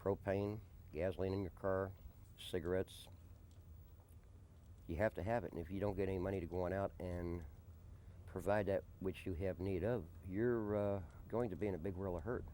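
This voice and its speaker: A man being interviewed in a conversational documentary, speaking deliberately and often repeating a question back before answering. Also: sits low, fairly even intonation, mellow. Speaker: interviewee, desert camp resident and odd-job handyman. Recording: noisy early-90s amateur documentary tape.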